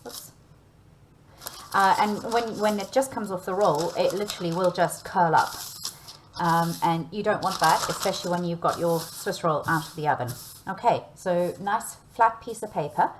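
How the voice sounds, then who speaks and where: A woman's voice: fairly low pitch, moderate pace, a little hiss or hum, low-quality recording. A woman giving a hands-on baking demonstration, talking learners through each step in a chatty, instructional way and often checking in. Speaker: cooking and baking teacher.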